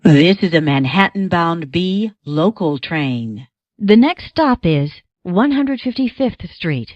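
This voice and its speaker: A low-pitched American woman delivers scripted transit announcements. Her phrasing is measured and broken into clear segments, with dry, close sound. The recording is a little dull and lo-fi. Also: sing-song, a little mellow, no room sound. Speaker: automated subway announcer.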